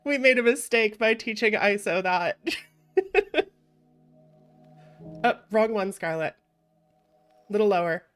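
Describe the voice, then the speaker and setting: A woman hosting a livestream, chatting casually and playfully with viewers in an expressive way over background music. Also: mid-range voice, slow, long pauses. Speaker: streamer, variety VTuber and host.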